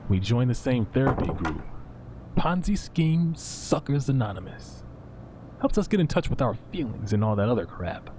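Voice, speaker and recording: An actor playing a brash, impatient schemer in a podcast drama, blunt, slangy and sarcastic. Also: male voice, medium pitch, sing-song, normal speed, dark tone, slight background noise, close to an everyday voice. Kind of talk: naturalistic fiction podcast acting.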